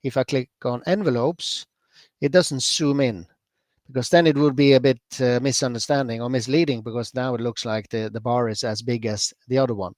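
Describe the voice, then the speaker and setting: A man from Norway with a Norwegian accent, talking through a software demonstration for a user group. His voice sits at an ordinary middle pitch and is recorded dry and close. He keeps things loose and chatty, full of fillers and quick self-corrections. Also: steady tone, no echo. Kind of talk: screen-share software demo.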